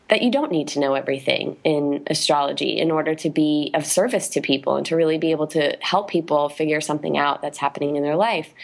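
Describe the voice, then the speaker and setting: A woman co-hosting a podcast in a casual chat, speaking in a soft, thoughtful and appreciative way. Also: deep voice. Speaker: podcast co-host.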